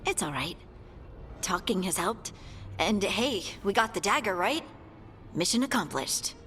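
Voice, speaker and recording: An actress playing an earnest, determined dwarf scout in a video game, plain-spoken in manner. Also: female voice, mid-range voice, animated, a little slow, a bit bright. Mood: subdued. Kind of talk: video game cutscene acting. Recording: game dialogue under clear music.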